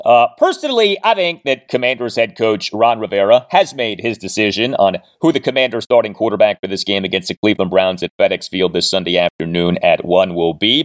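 A male sports podcast host with a very lively, swinging delivery. He speaks emphatically and deliberately in a mid-range voice, recorded close and dry with no room sound. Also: medium pitch, dry recording. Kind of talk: sports commentary monologue with sponsor read.

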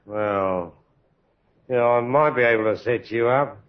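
An actor playing an unhurried, cagey garage tradesman in a radio drama, with a casual, drawn-out manner. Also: medium pitch, natural voice. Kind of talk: 1950s radio drama acting. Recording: dull vintage radio recording.

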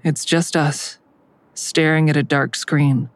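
An actress playing a hesitant, guilt-ridden grown daughter who narrates and confesses plainly in an audio drama. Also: female voice, deep voice, fairly even intonation, takes it slowly. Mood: subdued, reluctant. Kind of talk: audio drama acting with first-person narration.

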